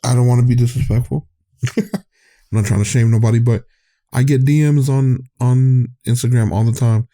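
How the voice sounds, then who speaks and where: A man's voice: medium pitch, fairly even intonation, normal speed, mellow. A man hosting his own podcast, talking in a candid, rambling way, often trailing off and restarting, his voice getting tired. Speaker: podcast host. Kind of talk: casual rambling monologue.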